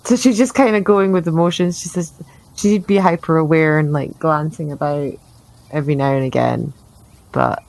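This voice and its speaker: Low-voiced woman playing a tabletop game. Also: a little mellow, rough recording. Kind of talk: casual improvised roleplay narration.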